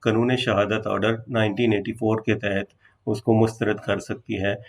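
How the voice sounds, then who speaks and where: A man's voice: fairly low pitch, level tone, moderate pace. Pakistani man explaining law. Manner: explanatory, switches between Urdu and English legal terms. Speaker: law lecturer. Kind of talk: informal legal lesson, explaining and reading out statute.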